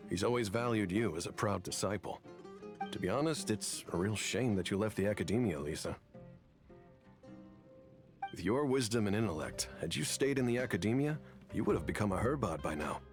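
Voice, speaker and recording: An actor playing an earnest academy professor in a video game, measured, formal and coaxing. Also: male voice, on the low side, animated, faint music behind, natural voice. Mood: wistful, coaxing. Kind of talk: scripted game dialogue.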